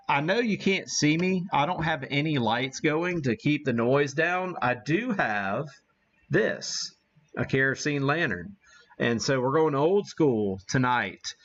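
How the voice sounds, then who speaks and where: A man's voice: mid-range voice, a bit bright, lo-fi sound. A man hosting a ham radio livestream, chatting casually in a rambling, self-deprecating way as he demonstrates. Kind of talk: casual live-stream chat and demonstration.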